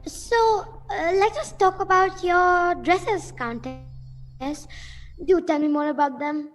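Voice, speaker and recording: A nervous, defiant village mother played by a woman in an amateur radio drama. The high voice moves slowly and haltingly, polite and proper, with faint music behind it. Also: rough recording. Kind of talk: amateur radio play acting.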